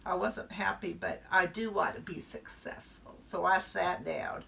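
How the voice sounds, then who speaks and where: A woman's voice: lowish voice, fairly even intonation, unhurried, creaky, fairly bright tone, far from the mic, heavily acted. A woman storyteller voicing a chatty little first-grade girl who confides in her listeners. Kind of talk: performed storytelling.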